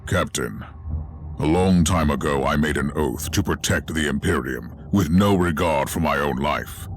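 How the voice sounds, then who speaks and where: An actor playing a stern, zealous, battle-hardened inquisitor in a video game, solemn and commanding, speaking formally. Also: male voice, a bass voice, unhurried, slight background noise. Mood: grave, commanding. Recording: loud music behind the voice.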